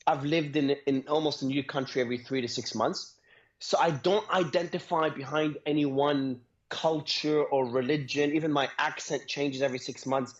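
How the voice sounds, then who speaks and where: Male guest from Australia, a bit high-pitched, quick chatty delivery, dull remote sound. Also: fairly even intonation. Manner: fast, repeats words, laughs between phrases. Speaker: guest, entrepreneur. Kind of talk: conversational interview.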